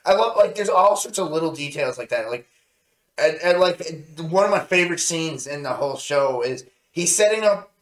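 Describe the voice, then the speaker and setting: A man's voice: on the high side, expressive, moderate pace. A man chatting casually as co-host of a review show, recounting scenes in an enthusiastic, rambling way. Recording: echoey, roomy sound.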